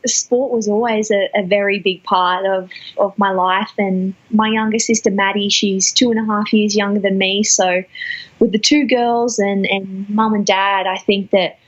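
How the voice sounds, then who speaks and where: A young woman in her twenties answering interview questions thoughtfully, often pausing and restarting phrases. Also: mid-range voice, steady tone, muffled recording. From Australia. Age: 20s. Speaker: guest athlete.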